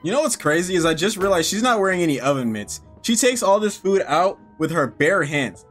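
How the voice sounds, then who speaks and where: A man's voice: sits high, very animated, brisk, fairly bright tone, soft background music. A man in his thirties commenting on a game as he plays, joking and swearing casually. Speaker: gaming streamer. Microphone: headset.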